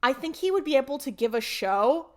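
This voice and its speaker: A woman hosting a casual group podcast, chatty and playful and quick to laugh. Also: high voice, animated. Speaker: podcast host.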